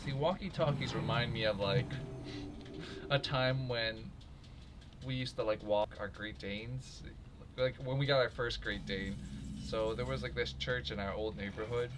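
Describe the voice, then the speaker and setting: A man's voice: mid-range voice, measured pace. A young man with a mid-range voice, recorded with noticeable background noise. He chats casually as he plays, wandering through his stories with lots of conversational filler. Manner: rambling, peppered with "like" and "you know". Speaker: gamer, co-host. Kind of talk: casual storytelling over gameplay.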